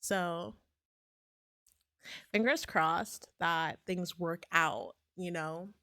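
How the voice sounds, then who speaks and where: A woman streamer in casual, rambling chat, her voice a bit raspy as if she is getting sick, with lots of fillers and restarts. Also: on the low side, drawn-out words, sharp tone, no echo, clean studio sound. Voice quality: slightly raspy.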